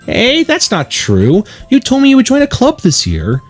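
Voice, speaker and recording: A man's voice: extremely high pitch, huge pitch swings, moderate pace, soft background music, voice pushed up, exaggerated voice. A man voicing a carefree, sweetly nagging teenage schoolgirl from a visual novel, bubbly and pleading. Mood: pleading, cheerful. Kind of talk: visual novel character read-aloud.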